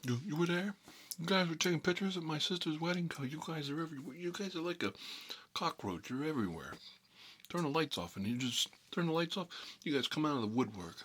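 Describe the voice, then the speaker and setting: A man's voice: fairly high pitch, lively intonation, fairly bright tone, breathy edge. A man playing a boastful Italian-American mob boss in an improvised ASMR role-play, rambling and muttering between bites of food. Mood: needling, indignant. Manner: rambling, halting, muttering, talking with food in his mouth. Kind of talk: improvised ASMR character role-play, eating while talking.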